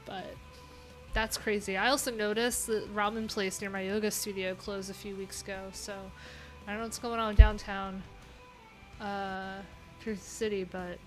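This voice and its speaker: Casual woman streamer, mid-pitched, rambly and filler-heavy, soft music underneath. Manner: chatty, rambling, many fillers and restarts. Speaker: live coding streamer. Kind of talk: casual livestream chat.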